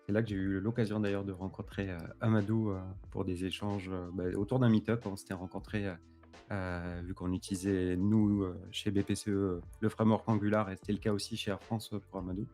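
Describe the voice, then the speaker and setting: A low, mellow-voiced man in his thirties co-hosting a tech livestream. He talks in a relaxed, easygoing way, often repeating a word as he thinks, with faint music in the background. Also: brisk. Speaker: co-host. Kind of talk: livestream panel discussion.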